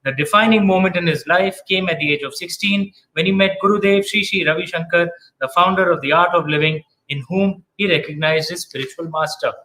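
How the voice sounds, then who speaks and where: Male interviewer, slightly high voice, courteous and halting. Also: bright, forceful tone, a little hiss or hum, low-quality recording. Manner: hesitant, polite, many uhs and restarts. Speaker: interviewer, host. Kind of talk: interview questions.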